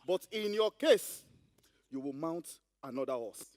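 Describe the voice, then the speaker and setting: A man acts a sly, bumbling Nigerian schemer in a comic stage play. The speech is hesitant and roundabout, with lively pitch swings and a dry sound. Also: measured pace, dry recording. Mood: wheedling.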